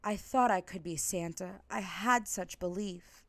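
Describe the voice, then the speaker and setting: A woman's voice: medium pitch, a little slow, close to an everyday voice. A woman voicing a naive, dramatic male skeleton in a storybook reading, with an earnest manner. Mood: dejected.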